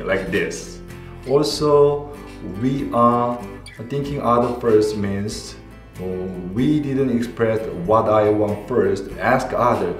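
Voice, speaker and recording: A man in his forties from South Korea, speaking careful, halting English with a Korean accent. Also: medium pitch. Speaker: interviewee. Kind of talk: reflective testimony. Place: indoor studio with dark backdrop. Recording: roomy with guitar music under. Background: soft guitar music.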